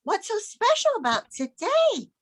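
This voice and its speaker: A woman's voice: very high-pitched, huge pitch swings, unhurried, fairly bright tone, heavily acted. A woman playing an eager, sweet little schoolgirl in an amateur radio play, with childlike delivery. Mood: curious.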